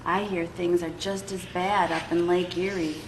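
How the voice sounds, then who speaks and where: A woman voicing a small, grumpy male forest creature who scolds loudly and indignantly, with coughs between his complaints. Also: fairly low pitch, level tone, moderate pace, noisy background, pitched below the performer's own voice, natural voice. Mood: scolding. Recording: echoey hall with long reverb.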